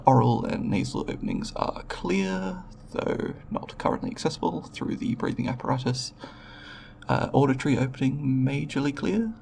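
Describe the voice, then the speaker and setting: A man playing a nervous, formal alien researcher in an ASMR audio roleplay, with a creaky voice and precise, clinical, hesitant wording. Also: mid-range voice, a little mellow, a little hiss or hum, full character voice. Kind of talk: ASMR roleplay, recorded report then reassurance.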